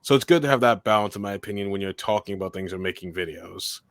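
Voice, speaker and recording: A man speaking as a guest in an interview, answering at length in a steady monotone voice. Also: lowish voice, quick pace. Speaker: guest filmmaker and commentator.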